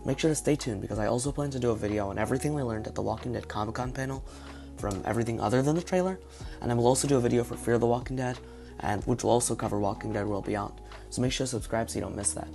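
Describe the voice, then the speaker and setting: A man with a hoarse, partly lost voice, talking through his guesses in a casual video commentary. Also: medium pitch, very fast, dark tone, soft background music. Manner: speculative, thinking aloud. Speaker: video reviewer.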